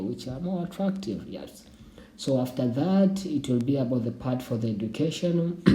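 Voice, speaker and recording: A man's voice: medium pitch, dark tone. A young Tanzanian man whose first language is Swahili, explaining a screen-share tutorial in a loose, repetitive way with frequent restarts. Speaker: YouTube channel host. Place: indoor room. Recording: roomy echo.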